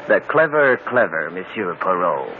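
An actor playing a cold, greedy young lover, domineering and smug, in vintage radio drama style. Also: male voice, low voice, exaggerated voice. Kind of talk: 1940s radio acting. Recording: muffled, hissy old radio broadcast.

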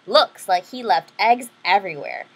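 A woman's voice: mid-range voice, expressive, moderate pace, low-quality recording. A woman voicing an eager, upbeat young boy, the little brother in a storybook reading. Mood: cheerful.

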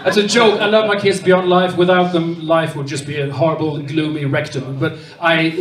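A man's voice: on the high side, moderate pace. Swedish man doing stand-up in a roomy club. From Sweden. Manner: self-deprecating, playful, animated impressions. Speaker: stand-up comedian. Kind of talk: stand-up comedy set. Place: comedy club stage before a live audience. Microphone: handheld mic. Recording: roomy club sound from far off, loud crowd laughter.

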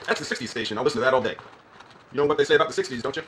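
A man's voice: mid-range voice, rapid-fire, a bit bright, slight background noise, close to an everyday voice. A man playing a restless, joking gas station attendant in a drama scene, with a put-on American Southern accent and a folksy, rambling way of talking. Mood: folksy, chatty.

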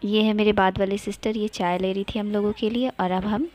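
A woman's voice: medium pitch, fairly even intonation, fairly quick, a little mellow. A young woman from India narrating a casual travel vlog, cheerful and chatty, pointing things out to her viewers. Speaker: vlogger.

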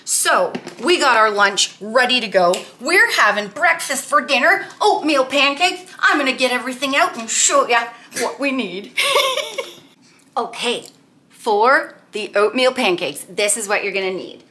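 A woman's voice: on the high side, animated, measured pace, pushed and bright, a little hiss or hum. A woman in her thirties casually walking through a recipe in her home kitchen, chatty and upbeat with lots of "like". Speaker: weight-loss cooking vlogger.

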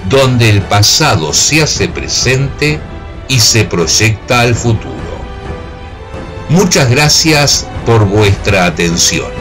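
Slow, expressive male announcer over music. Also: medium pitch. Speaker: radio serial announcer. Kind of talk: scripted dramatic narration.

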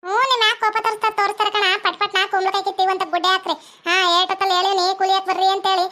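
A woman's voice: extremely high pitch, level tone, rapid-fire, bright, forceful tone. A woman voicing a bossy, impatient female farm owner in a village cartoon, repeating orders insistently in a North Karnataka accent. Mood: impatient, scolding.

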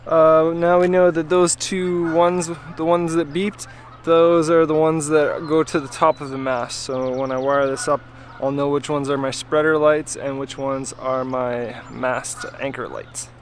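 A man in his thirties talks through his wiring work in a plain, upbeat way, his voice pitched a little high for a man. The camera mic picks him up outdoors, with the yard's noise clearly audible behind him. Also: level tone, measured pace. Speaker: sailing vlogger. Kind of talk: casual vlog chat. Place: outdoors in a boatyard.

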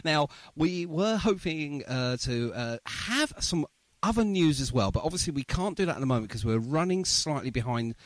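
A man's voice: on the high side, lively intonation, moderate pace, dry recording. Guy hosting a radio interview, really up and down voice, chatty, dry close sound. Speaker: radio host. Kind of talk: casual interview chat.

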